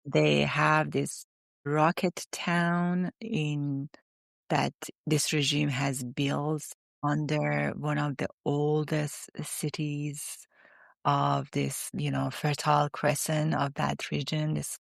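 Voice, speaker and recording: Gravelly-voiced woman. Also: deep voice, little pitch movement, dry recording, studio quality. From Iran. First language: Farsi. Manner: reflective, halting, with restarts. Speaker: guest psychotherapist. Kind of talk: conversational interview.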